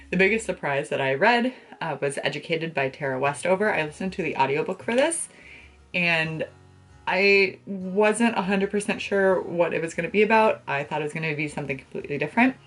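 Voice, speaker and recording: A woman's voice: low-pitched, fairly bright tone. A young adult woman chatting casually to camera about books in a gushing, playful, slangy way, recorded in a bedroom. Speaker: book vlogger. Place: small bedroom, indoors.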